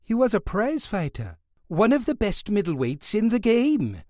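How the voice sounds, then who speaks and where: Welsh guard, high and genial, gossipy; a male reader doing the voice. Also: wildly swooping pitch, warm tone, no room sound, clean studio sound. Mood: enthusiastic. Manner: genial, chuckling, quick to talk. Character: chatty Welsh prison guard, boxing fan.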